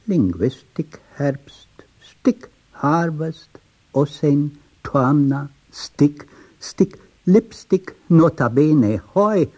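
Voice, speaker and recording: A man's voice: mid-range voice, dark tone, muffled recording. A man reciting sound poetry in a rhythmic, chanting, playful way, dwelling on syllables.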